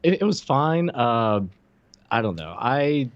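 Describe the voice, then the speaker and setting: A man's voice: mid-range voice, very animated, takes it slowly, no echo. Dry, dull-sounding man chatting critically. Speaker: podcast co-host. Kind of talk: casual critical chat. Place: indoor room, video call.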